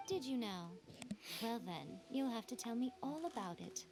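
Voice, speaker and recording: A woman's voice: a little high, expressive, normal speed, darkish tone. A woman voicing a sly, playful female shrine priestess in a game, amused and teasing. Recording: game audio with clear music.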